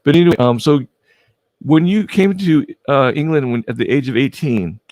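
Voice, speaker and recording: A man's voice: medium pitch, lively intonation, normal speed, a little mellow, dry recording. A man hosting a casual livestream interview, friendly and prone to stumbling restarts. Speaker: host.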